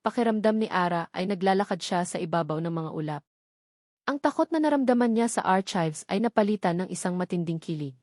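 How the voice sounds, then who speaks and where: A woman's voice: medium pitch, a little mellow, no room sound, clean studio sound. A woman narrator reading a romantic story in a dramatic scripted storytelling style.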